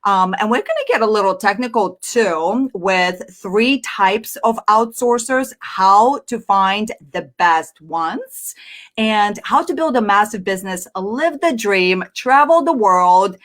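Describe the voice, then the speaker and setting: Energetic Russian-accented woman in her 30s, chatty business presenter, casual and upbeat. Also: mid-range voice, slow. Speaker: business speaker. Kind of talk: live online presentation.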